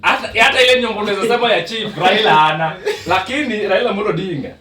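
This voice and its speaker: A man's voice: high-pitched, rapid-fire, sharp tone, recorded from a distance. A Kenyan man hosting a podcast, chatting and teasing with lots of laughter. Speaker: podcast host. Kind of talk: casual banter switching between Swahili and English. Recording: echoey room, away from the mic.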